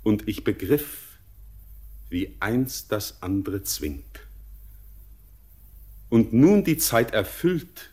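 An actor playing an entranced, mystical young miner in a 1940s radio drama, solemn and declamatory in lyrical verse. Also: male voice, on the low side, very animated, drawn-out words, pauses often, warm tone, slightly rough, heavily acted. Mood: exalted, visionary.

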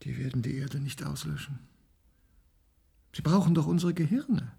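A very raspy, creaky male voice plays a stern interrogator in a radio drama. It is fairly high for a man and dark in tone, with a pointed, accusing delivery. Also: moderate pace, heavily acted. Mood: reasoning. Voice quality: husky, gravelly. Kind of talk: German radio play acting.

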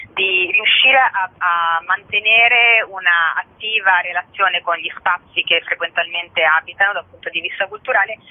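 A woman speaking in a formal, articulate and wordy way as a theatre guest in a radio interview over the telephone. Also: lowish voice. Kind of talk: formal explanatory interview answers. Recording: narrow, muffled phone line.

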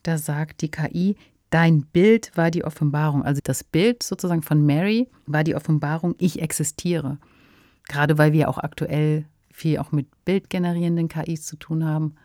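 A woman's voice: low-pitched, steady tone, normal speed, mellow, no room sound, studio quality. A woman, co-hosting a podcast in a conversational, discursive style. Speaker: podcast co-host. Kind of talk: conversational radio drama discussion.

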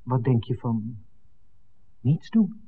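A man's voice: mid-range voice, expressive, takes it slowly, husky. An actor playing a hesitant, thoughtful man torn between duty and affection in a 1960s Dutch radio drama. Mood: uneasy, hesitant. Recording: muffled, noisy old radio drama recording.

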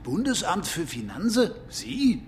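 An actor playing a puzzled, somewhat officious police chief inspector in a radio crime drama. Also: male voice, sits high, huge pitch swings, measured pace, a little hiss or hum, heavily acted. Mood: surprised.

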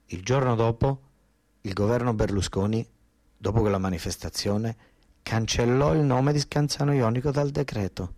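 A man with a southern Italian accent from Basilicata delivers a theatrical first-person monologue, colloquial and dialect-tinged, with repeated phrases and dramatic pauses. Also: mid-range voice, a little mellow, dry recording. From southern Italy. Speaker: stage storyteller and monologue actor.

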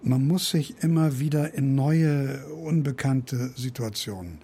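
An actor playing an eccentric older literature professor in a radio play, blustering, boastful and scolding in a pompous manner. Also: male voice, mid-range voice, measured pace, warm tone, slightly rough. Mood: lecturing.

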